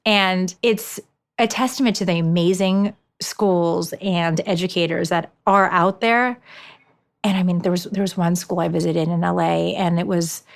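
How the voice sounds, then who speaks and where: A woman's voice: lowish voice, fairly even intonation, normal speed, vocal fry. A woman in her forties giving an interview as an author, telling stories animatedly with frequent restarts. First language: Japanese. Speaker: author and education consultant, guest. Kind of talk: conversational interview.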